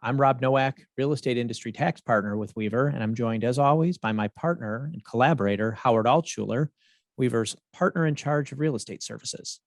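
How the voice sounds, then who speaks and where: A man hosting a podcast, reading the intro and disclaimers in a measured way and putting questions to a guest. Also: medium pitch, dry recording. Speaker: podcast host.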